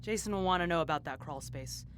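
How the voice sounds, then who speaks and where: A woman's voice: on the low side, fast, fairly bright tone, lightly acted. A woman acting in an audio drama as a determined but rattled private investigator. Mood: wary, musing. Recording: clearly noisy background ambience.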